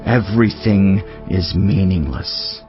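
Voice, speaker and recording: A man playing a wise, solemn teacher of ancient scripture in a dramatized audiobook, speaking in a measured, deliberate way. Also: low voice, expressive, dull audio. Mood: weary resignation. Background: background music.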